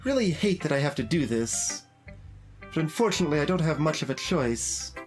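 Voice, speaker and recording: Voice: mid-range voice, lively intonation, a little fast, lightly acted. A game streamer voicing a sulky, easily offended teenage schoolgirl in a visual novel, curt and huffy. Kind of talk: reading visual novel dialogue in character. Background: game music.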